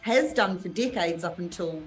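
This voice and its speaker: A woman's voice: lowish voice, normal speed, low-quality recording. Kiwi woman in a band interview, chatty and laughing easy, faint music behind. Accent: New Zealand. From New Zealand. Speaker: band member guest. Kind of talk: casual interview chat.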